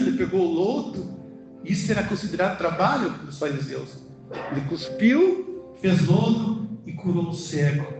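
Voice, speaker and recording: A middle-aged man with a Brazilian accent preaching a conversational sermon, emphatically asking questions and answering them himself. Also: high-pitched. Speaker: preacher, church elder. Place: indoor church service, music in the background. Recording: very echoey church hall, music behind.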